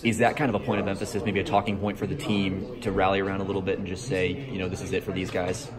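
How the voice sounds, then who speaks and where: A man's voice: deep voice, level tone, fast talker, a crowd in the background. A male sports reporter asking long, hedged questions at a postgame scrum. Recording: noisy crowded locker room.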